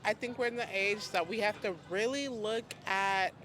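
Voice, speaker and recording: A young speaker with a notably high voice answers thoughtfully in a casual street interview. Between musings, the speaker slips playfully into the voices of others to make a point. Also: male voice, normal speed, sharp tone. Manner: reflective, playfully acts out quoted lines. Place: outdoors on a city street in New York.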